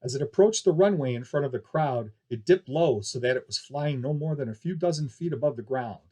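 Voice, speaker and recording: Husky-voiced man narrating a story. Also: medium pitch, lively intonation, a little fast, warm tone. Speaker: audiobook narrator reading a novel.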